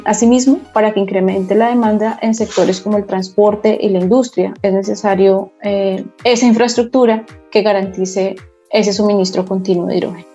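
Guest expert, Colombian woman in her forties with a Bogotá accent, mellow tone, slightly muffled lo-fi audio. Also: medium pitch, steady tone, faint music behind. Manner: measured, explanatory, with small restarts. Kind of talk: podcast interview.

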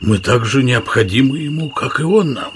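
An actor playing a loyal, determined giant outlaw in a radio play, speaking earnestly and emphatically. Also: male voice, medium pitch, animated, moderate pace, noisy background, close to an everyday voice. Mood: conspiratorial, resolute.

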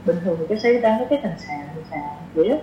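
A woman's voice: medium pitch, lively intonation, rapid-fire. A woman in her twenties with a southern Vietnamese accent, chatting informally as a podcast guest and mixing in English slang. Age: 20s. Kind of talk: casual conversational interview. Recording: roomy, dull sound with faint noise.

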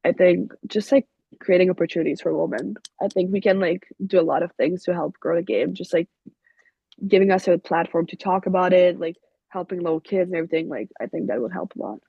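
A woman's voice: fairly low pitch, fairly even intonation, a little fast, gravelly, husky, dry recording. A young woman from Quebec, a native French speaker with a Québécois accent, answering interview questions casually and saying "like" constantly. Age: young adult. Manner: leans heavily on "like" as a filler. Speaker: college hockey player guest. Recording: dull lo-fi sound.

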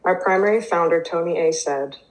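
A woman's voice: lowish voice, fairly quick, rough recording. A woman whose first language is Hebrew, reading recovery literature and then sharing her own story hesitantly, with many fillers. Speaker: twelve-step program member sharing. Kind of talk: reading aloud, then personal recovery share.

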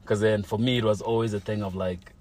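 Low-voiced young man chatting casually. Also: brisk. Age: young adult. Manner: relaxed slangy talk full of "like" and "you know". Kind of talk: casual chat, telling a personal story.

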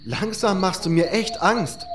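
A male reader acts out a fretful young mage in a fantasy audiobook. The voice is pitched up, hesitant and imploring. Music runs clearly behind it. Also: unhurried. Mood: worried, agitated. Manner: hesitant, pleading. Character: anxious young male mage, worried friend. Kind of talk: audiobook character voice.

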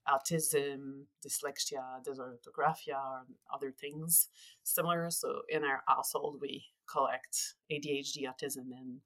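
A woman in her forties with a Quebec French accent, a native French speaker, chatting as a podcast guest with frequent pauses and restarts. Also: a bass voice, fairly even intonation, a bit bright, rough recording. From Quebec, Canada. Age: 40s. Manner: earnest, pauses often with fillers and restarts. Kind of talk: casual interview chat.

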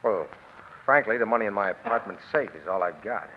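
In an echoey, tinny old radio recording, a man acts as a cornered bookmaker with a deep voice. He is defensive and subdued, in 1940s crime drama style, with some hiss around him. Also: expressive, brisk, natural voice. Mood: subdued, wary. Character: cornered male bookmaker accused of murder.

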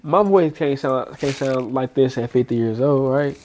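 A man's voice: mid-range voice, normal speed, slight background noise. A man in his twenties co-hosting a podcast, chatting casually in slangy banter, sounding congested. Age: 20s. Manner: animated slangy banter with frequent laughter. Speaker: podcast co-host. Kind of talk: casual chat.

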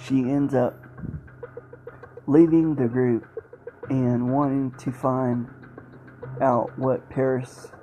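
A man introducing an old-time radio episode as a podcast host, halting phrase by phrase. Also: mid-range voice, flat delivery, low-quality recording. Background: soft guitar music.

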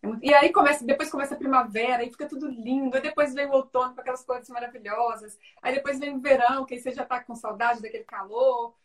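A woman's voice: sits high, fairly even intonation, fast, hardly any pauses. A woman with a Brazilian Portuguese accent, chatting as an interview guest over a video call, full of tag questions and restarts. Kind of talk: casual conversation. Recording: voice distant from the mic.

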